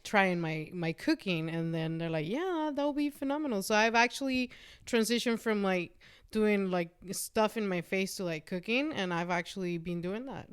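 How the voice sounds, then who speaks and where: A woman's voice: on the low side, normal speed, clean tone. A woman from Mexico chatting casually and candidly as a podcast guest, blunt and free with swearing.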